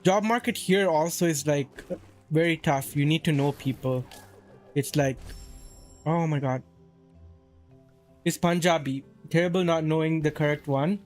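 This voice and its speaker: Guy streaming from saudi, rambly laid-back chat, talks slow, soft music under it. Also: fairly high pitch. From Saudi Arabia. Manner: rambling, chatty, reading and answering chat. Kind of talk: casual live-stream chat.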